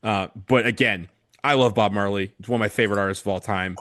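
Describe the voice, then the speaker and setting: A man's voice: on the low side, quick pace, fairly bright tone, dry recording. A man co-hosting a podcast, talking casually about football kits in a hesitant way with many fillers and restarts. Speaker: podcast co-host. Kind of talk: casual chat.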